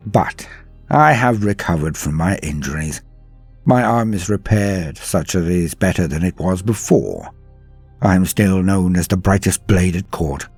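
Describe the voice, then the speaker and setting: A man playing a proud, weary planetary ruler in a sci-fi audio story, speaking formally and grandly in a measured, husky delivery. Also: low voice, a little mellow, full character voice. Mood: proud, steely. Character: weary proud noble planetary governor, man, grim and resolute. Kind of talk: dramatic first-person sci-fi audio story narration.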